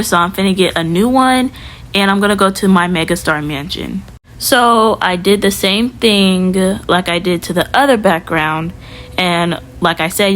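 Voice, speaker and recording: A woman's voice: mid-range voice, a little hiss or hum. A young woman giving a casual, chatty how-to tutorial as a video creator, talking informally with lots of fillers. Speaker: YouTube creator.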